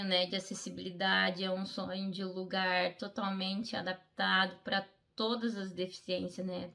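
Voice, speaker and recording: A woman's voice: on the low side, fairly bright tone. A middle-aged woman, telling a personal story in a heartfelt, conversational way, as an athlete in a documentary interview. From Brazil. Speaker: Paralympic fencer, interviewee.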